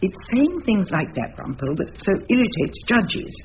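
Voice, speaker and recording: A woman's voice: mid-range voice, big pitch swings, normal speed, natural voice. Woman playing an imperious older English wife, muffled recording. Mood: bossy, scolding. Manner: haughty, precise, bossy. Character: imperious older barrister's wife, sharp and self-assured. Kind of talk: radio drama dialogue and narration. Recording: dull lo-fi radio recording with hiss.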